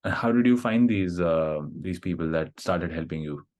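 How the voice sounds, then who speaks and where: Low-voiced male podcast host. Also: normal speed. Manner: relaxed, prompting questions with fillers. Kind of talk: casual interview.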